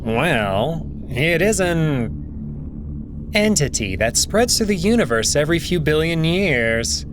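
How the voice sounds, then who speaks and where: A man voicing a young male alien prince and diplomat, speaking in a measured, formal, unhurried way. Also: fairly high pitch, sing-song, background noise. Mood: thoughtful.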